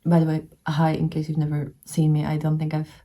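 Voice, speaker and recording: A young woman from the Czech Republic with a Czech accent, talking casually about her reading plans. Her voice is low-pitched and very mellow. She rambles along with plenty of fillers and restarts. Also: completely flat delivery, a little fast. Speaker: book vlogger. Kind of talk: casual reading vlog chat. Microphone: camera showing only her hands.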